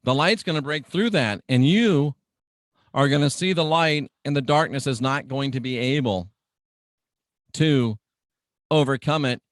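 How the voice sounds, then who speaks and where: A man's voice: medium pitch, measured pace, pauses often, no echo, studio quality. A middle-aged man preaching on the radio in a dramatic, emphatic teaching style, repeating phrases for weight. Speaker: radio preacher and show host.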